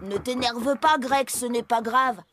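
A woman's voice: a little high, unhurried, a bit bright. A woman in a French children's cartoon dub voicing a cheerful, friendly, good-natured young tractor. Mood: soothing, pleased.

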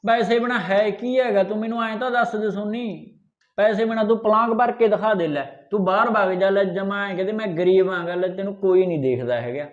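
A man's voice: high-pitched, brisk, lo-fi sound, natural voice. A man playing a greedy, irritable husband in a short film, scolding and argumentative with a Punjabi accent. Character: greedy, irritable husband, dismissive of his wife. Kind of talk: naturalistic short film dialogue.

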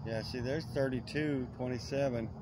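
A man's voice: medium pitch, fairly even intonation, normal speed. A man, rambling folksy vlog talk outdoors at dusk, recorded on a close-held phone. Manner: rambling, folksy, trails off with fillers. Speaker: vlogger. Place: outdoors in dense brush at dusk. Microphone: phone camera held close. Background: crickets, insects and distant traffic.

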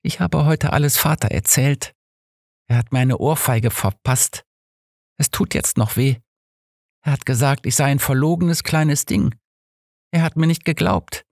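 A man's voice: mid-range voice, unhurried, vocal fry, long pauses, darkish tone, dry recording, studio quality, pitched above the performer's own voice. Man reading as a hurt, frightened young girl. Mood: hurt, bitter. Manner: hesitant, plain childlike wording. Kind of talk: audiobook character reading.

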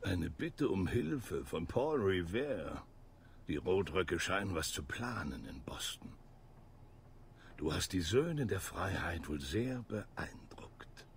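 An actor playing a stern old mentor in a German video game dub, speaking in a measured way. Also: male voice, deep voice, animated, gravelly, slight background noise, heavily acted. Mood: hushed. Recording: game audio with music behind.

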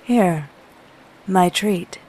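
A woman's voice: on the low side, drawn-out words, darkish tone. A woman playing a self-assured cat burglar, flirtatious and sardonic, in a comic drama. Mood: flirtatious, teasing.

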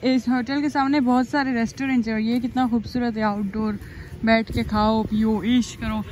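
A woman's voice: a little high, level tone, moderate pace, a little mellow. A young woman chatting expressively to camera in a casual travel vlog style, recorded on a phone at arm's length. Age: young adult. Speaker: vlogger, host. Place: busy restaurant, street and hotel grounds. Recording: noisy outdoor and restaurant crowd background.

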